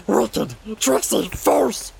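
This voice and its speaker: A man voicing a wretched, wheedling cave creature for an audiobook, with a hissing, gurgling rasp, broken childlike speech and a sly, suspicious manner. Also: extremely high pitch, expressive, drawn-out words, pitched above the performer's own voice, heavily acted. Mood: fearful, accusing. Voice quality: hissing, gurgling, raspy whisper. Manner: broken childlike speech, talks of self by name. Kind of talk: audiobook character voice.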